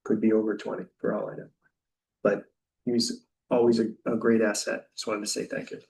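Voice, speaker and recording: A man chairing a town board meeting, calling votes in a brisk, procedural way. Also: lowish voice, level tone, gravelly, a little mellow. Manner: brisk procedural, routine phrasing. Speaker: first selectman chairing the meeting. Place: meeting room. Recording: voice from far off the mic.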